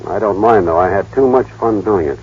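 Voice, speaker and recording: A man's voice: lowish voice, a little fast, slight background noise, natural voice. An actor playing a shy, naive small-town American man in a vintage radio drama, hesitant, boyish and plainspoken. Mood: frank, gentle. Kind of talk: 1930s-40s radio drama acting. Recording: dull, muffled old radio broadcast.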